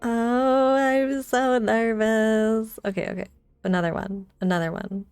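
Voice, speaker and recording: A woman casually chatting on a game livestream, playful and excitable, often repeating her phrases. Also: a little high, unhurried, smooth, no room sound, faint music behind. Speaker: game streamer. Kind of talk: casual livestream chat while playing a gacha game.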